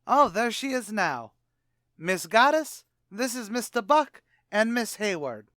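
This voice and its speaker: A man playing a boastful, stammering tavern manager in a 1940s-style radio comedy, bluffing with rough grammar. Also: sits high, very animated, a little slow, fairly bright tone, no room sound, clean studio sound, heavily acted.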